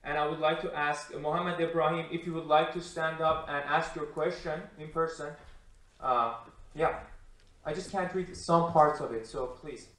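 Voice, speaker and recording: Male panel moderator, fairly high voice, informal and courteous, heavy room echo. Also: fairly even intonation, moderate pace, fairly bright tone. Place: indoor conference room. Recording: very echoey room, distant mic.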